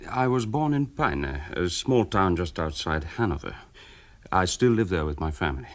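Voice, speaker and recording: An actor playing a modest German author and family man, gentle and a little hesitant, in a radio interview. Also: sits low, big pitch swings, normal speed. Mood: nostalgic, modest. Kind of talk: radio interview within a drama.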